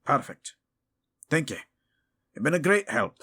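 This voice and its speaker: A man playing a gruff, suspicious but loyal dwarf with earthy, informal speech in a fantasy audio drama. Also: a little high, big pitch swings, a little slow, pushed and bright, voice pushed up, full character voice. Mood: grateful.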